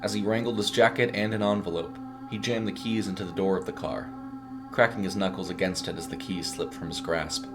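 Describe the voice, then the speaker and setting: A man's voice: deep voice, fairly even intonation, brisk, over music. A man reading a scripted short story as a dramatic narrator.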